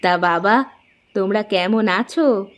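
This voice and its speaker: A woman voicing an older, hospitable village mother in a cartoon, gentle and motherly. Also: medium pitch, slow pace, natural voice. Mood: welcoming. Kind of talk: Bengali cartoon voice acting.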